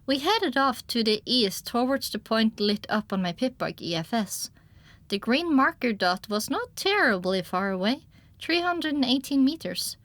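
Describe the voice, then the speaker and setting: A woman's voice: a little high, expressive, normal speed, a bit bright, no room sound. A woman reading scripted first-person story narration with a descriptive storytelling delivery. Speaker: audiobook narrator.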